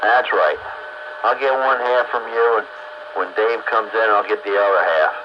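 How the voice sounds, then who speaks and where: A man's voice: lowish voice, normal speed. A man of sixty-four chatting casually in a folksy, easygoing manner, as an amateur radio operator. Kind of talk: casual chat. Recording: muffled noisy radio audio.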